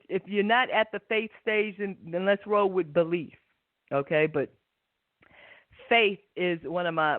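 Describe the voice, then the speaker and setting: Slow-talking woman on a phone-like line. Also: low voice, no echo. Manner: unhurried, reflective, often seeking agreement. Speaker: host, spiritual guide. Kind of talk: guided meditation and spiritual pep talk. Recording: tinny narrow phone-like line.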